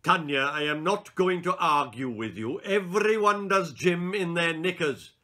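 No-nonsense storybook games mistress, acted by a man, exasperated and firm, with a bright, tense edge. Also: on the high side, measured pace, voice pushed up.

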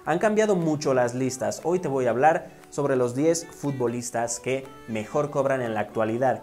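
A man in his thirties presents a football countdown as an online host. His voice sits at a medium pitch, and he delivers the scripted lines in an emphatic presenter style. Music plays behind him. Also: darkish tone. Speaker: YouTube host. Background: background music.

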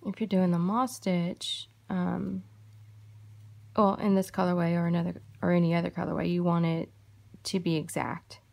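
A woman hosting a crochet tutorial, talking softly and unhurriedly in a gravelly voice, having just woken up. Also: on the low side, little pitch movement. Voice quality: gravelly morning voice. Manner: soft-spoken, unhurried with fillers. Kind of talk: casual tutorial chat while crocheting.

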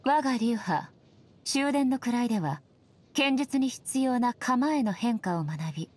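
Breathy, solemn shogun goddess. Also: female voice, a little high, animated, a little mellow. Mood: stern, formal. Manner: formal, measured, polite, solemn. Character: solemn, dignified ageless shogun goddess, stern yet softly sincere. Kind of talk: scripted game character voice lines.

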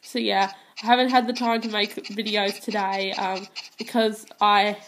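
A teenage girl talks through her game with a stuffy nose from a head cold, tired and hesitant, filling gaps with ums and restarting sentences. Her pitch sits in the middle for a girl, and she sounds like she is filming her console screen with a phone. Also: little pitch movement, moderate pace, background noise. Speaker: gaming channel host. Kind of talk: casual gameplay commentary. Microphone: phone filming a handheld console screen.

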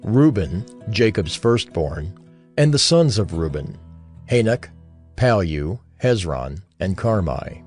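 A man narrating scripture in a solemn, deliberate way for a dramatized audio Bible. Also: deep voice, very animated, darkish tone, faint music behind.

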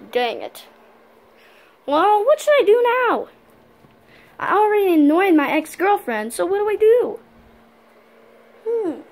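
A goofy young boy puppy, high and bouncy, who stammers and rambles in nervous bursts, as a woman puts him on. His voice leaps all over the place in a homemade cartoon play. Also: a little mellow, exaggerated voice. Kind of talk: fan-made cartoon voice play.

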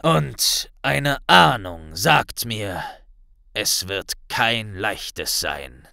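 A man voicing a grave, authoritative middle-aged wizard official with a gruff, deliberate delivery in an audiobook. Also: on the low side, lively intonation, bright, forceful tone, dry recording, studio quality, exaggerated voice.

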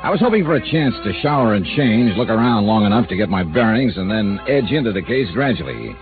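A man's voice: lowish voice, sing-song, fast talker. A man voicing a dry, wry insurance investigator who narrates a 1950s-style radio drama. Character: wry hard-boiled male insurance investigator. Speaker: narrator and lead. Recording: old lo-fi radio broadcast with music.

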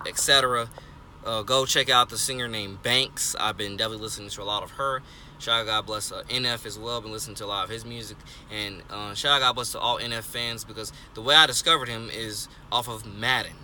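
A young man of twenty talks into a phone held close in his car, earnest and repetitive, circling back on himself with fillers. His voice sits a little low but sounds bright and forceful. A faint hum of the street sits behind him. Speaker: vlogger. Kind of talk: rambling casual monologue. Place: parked car, indoors of vehicle. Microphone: phone held close, selfie framing.

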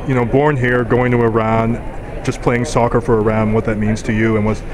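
A man's voice: medium pitch, little pitch movement, a little fast. Casual male interviewer in a crowd. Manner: rambling, casual questions with fillers. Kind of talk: post-game sports interview. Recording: crowded mixed zone chatter.

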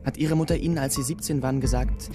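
Ein Schauspieler in seinen Zwanzigern spielt im Radiokrimi einen verbitterten, sarkastischen Sohn beim Verhör. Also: male voice, mid-range voice, fairly even intonation, fast talker, music in the background, lightly acted. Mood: bitter, sardonic. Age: 20s. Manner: sarcastic, defiant. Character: bitter, sullen young man, estranged son being questioned. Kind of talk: naturalistic German radio drama acting.

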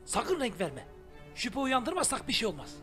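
A man voicing a scheming, defensive middle-aged would-be YouTuber in an animated comedy, with a blustering, colloquial manner. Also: extremely high pitch, moderate pace, soft background music, heavily acted.

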